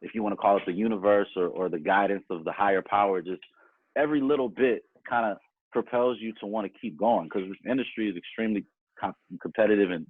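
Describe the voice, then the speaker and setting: A man's voice: fairly low pitch, fast, breathy edge. A man chatting casually as an interview guest, telling stories in a rambling, slangy, conversational way. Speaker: podcast guest, music performer. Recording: thin phone-like call, roomy echo.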